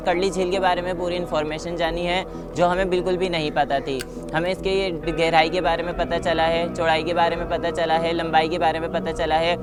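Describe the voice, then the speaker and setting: Higher-voiced man with even intonation. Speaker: student on a field trip. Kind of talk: testimonial to camera. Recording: music playing over the voice. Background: background music.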